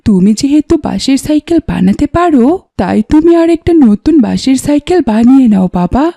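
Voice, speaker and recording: A gentle cartoon village mother, acted by a woman, consoles in a high voice. Her tone is soft and dark, recorded dry and close. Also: animated, brisk, gravelly, dry recording, exaggerated voice. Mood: consoling. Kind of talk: cartoon voice acting.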